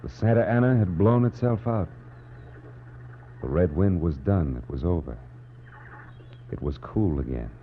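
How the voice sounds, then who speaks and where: An actor playing a cynical, world-weary private detective, narrating tersely with an American accent in a 1940s radio noir style. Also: male voice, low voice, expressive, unhurried, long pauses. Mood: somber, weary. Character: cynical hard-boiled male private detective narrating his case. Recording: muffled, phone-like 1940s radio recording with music. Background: dramatic music.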